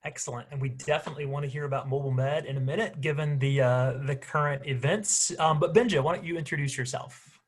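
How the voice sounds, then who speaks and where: A man's voice: fairly high pitch, fairly even intonation, quick pace. A man, a church minister, hosting a recorded conversation with young volunteers, speaking hesitantly with many ums and restarts.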